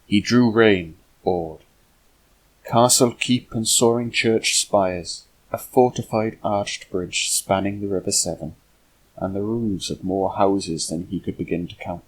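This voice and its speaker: A man with a husky voice reading a historical novel aloud as scripted narration. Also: fairly low pitch, fairly even intonation, unhurried, slight background noise, rough recording. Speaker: amateur audiobook reader.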